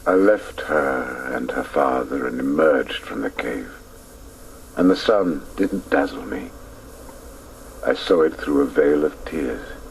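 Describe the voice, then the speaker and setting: An actor narrating a radio play as a man recalling his boyhood, in a hushed, grave, reflective way. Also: rumbling low, expressive, slow pace, creaky, a little hiss or hum, exaggerated voice, poor audio. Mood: wistful.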